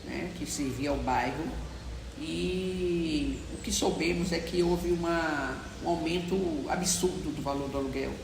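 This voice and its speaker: A woman with a gravelly, creaky voice explains things in a measured way. Her voice trails off into a long reverb. Busy background noise surrounds the recording. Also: deep voice. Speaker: longtime neighborhood resident. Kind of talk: documentary interview. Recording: roomy, reverberant, noisy street recording.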